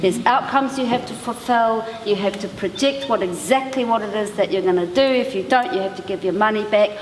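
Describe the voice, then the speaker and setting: A woman's voice: medium pitch, fairly quick. A woman who leads an arts project, giving an earnest, emphatic spoken account. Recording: echoey hall with clear background noise.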